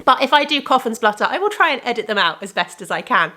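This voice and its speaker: Woman podcast host, slightly congested, rambling and friendly, fairly high-pitched. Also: expressive, quick pace, bright, forceful tone. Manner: chatty and rambling, with frequent self-corrections. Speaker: podcast host and indie yarn dyer. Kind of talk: casual chat to camera. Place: room with bookcases of yarn behind her.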